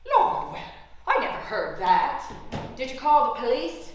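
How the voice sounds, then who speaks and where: Lively, folksy older woman, echoey. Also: high voice, measured pace, slight background noise, full character voice. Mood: concerned. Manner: folksy, mildly protesting, placating. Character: genteel older woman, patient but firm card player. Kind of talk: naturalistic stage drama acting. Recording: very echoey room.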